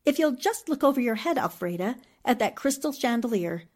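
A woman playing a sharp-witted, self-assured newspaperwoman who explains things precisely, in a 1940s-style radio drama. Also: on the high side, expressive, a little fast. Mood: knowing, assured.

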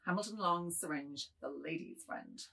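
Middle-aged male role read by a woman: husky, lowish, wordy, swinging between abasement and defiance, theatrical. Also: normal speed, bright, forceful tone, full character voice. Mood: abject, confessing. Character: self-abasing middle-aged man, guilty, wordy, then defiant. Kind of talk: dramatized reading of a modernist novel.

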